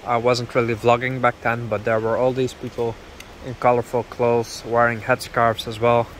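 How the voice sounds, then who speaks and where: A man narrating a casual travel vlog, explaining as he goes with many pauses, filmed outdoors on a handheld camera. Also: mid-range voice, flat delivery, a little hiss or hum, rough recording. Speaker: travel vlogger. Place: outdoors on village streets and in a parked car.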